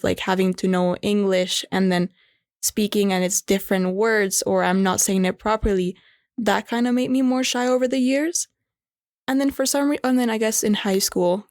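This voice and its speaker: A young woman with a light Venezuelan Spanish accent speaks as an interview guest in a medium-pitched voice. She talks openly and casually and scatters "like" through her sentences. The sound is dry and close, with almost no room echo. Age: young adult. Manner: chatty, heavy use of "like" fillers.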